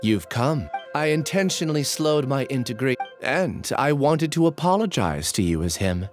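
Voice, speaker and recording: A woman playing a troubled, guarded young researcher in a video game, measured and solemn. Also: rumbling low, expressive, over music. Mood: apologetic.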